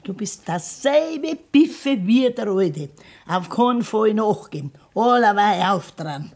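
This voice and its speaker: A woman's voice: mid-range voice, lively intonation, slow, darkish tone. An actress playing a stern, pragmatic old farm grandmother with a Bavarian accent in a dialect radio drama, blunt and scolding. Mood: worried, reproachful. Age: older.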